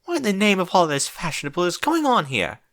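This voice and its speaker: A man's voice: sits high, big pitch swings, quick pace, a bit bright, pitched above the performer's own voice, full character voice. A man voicing a dramatic, prissy female unicorn fashionista, theatrical and haughty, in a cartoon-style story reading. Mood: indignant.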